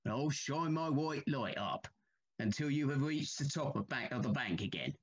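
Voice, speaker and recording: A man playing a humble, haunted Victorian railway signalman in an audio play, speaking gravely and haltingly with deference. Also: mid-range voice, animated, dull audio. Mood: troubled, guarded.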